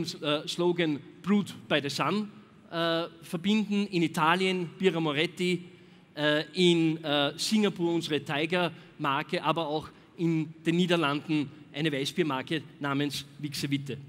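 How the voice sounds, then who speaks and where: Male keynote speaker, forties, high voice, headset mic in a reverberant hall, halting with fillers. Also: fairly bright tone. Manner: frequent filler hesitations. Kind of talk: conference keynote talk. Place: large dark stage hall. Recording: echoey large hall.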